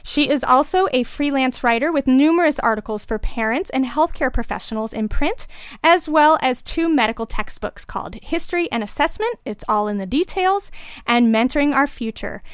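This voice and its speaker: A woman hosting a parenting podcast in a studio, reading her announcements and introductions in a bright, clear way. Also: high voice, expressive, normal speed, no room sound. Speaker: podcast host and lactation consultant. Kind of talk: scripted show hosting.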